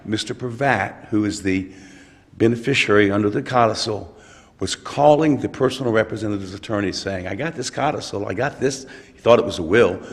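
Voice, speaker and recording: An older male attorney, hoarse from a cold, arguing an appeal in a courtroom with frequent halting restarts. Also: fairly low pitch. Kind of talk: appellate oral argument. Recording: long courtroom reverb.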